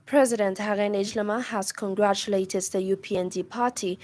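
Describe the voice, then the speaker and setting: Female radio news reader, Zambian accent, medium pitch, formal and measured scripted delivery. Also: steady tone, darkish tone. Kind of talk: scripted news bulletin reading.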